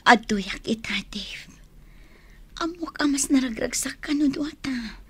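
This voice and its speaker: A lovelorn young woman in a radio drama. Her voice is fairly high but husky and breathy, carrying quiet regret and longing. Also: lively intonation, unhurried, heavily acted. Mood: sad, regretful. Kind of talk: Ilocano radio drama acting.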